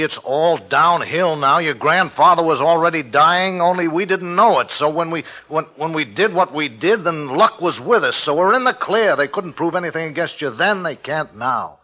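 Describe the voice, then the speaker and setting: A man's voice: on the high side, normal speed, few pauses, sharp tone. An actor playing a controlling, suspicious husband in a 1940s radio thriller, with clipped tough-guy talk that is both soothing and threatening. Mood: soothing, uneasy. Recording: dull old radio recording.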